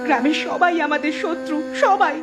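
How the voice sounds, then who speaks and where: Woman voicing a high-pitched, aggrieved village wife. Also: moderate pace, natural voice. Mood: sorrowful. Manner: plaintive, emotional. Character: aggrieved, poor village wife, bitter and sorrowful. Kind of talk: cartoon character dialogue. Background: clear music.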